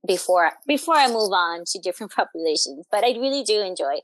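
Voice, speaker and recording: A woman's voice: medium pitch, expressive, quick pace, no room sound, rough recording. A young adult woman talking casually as an interview guest, in an informal, hesitant, meandering and self-reflective way. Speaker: family therapist, interview guest.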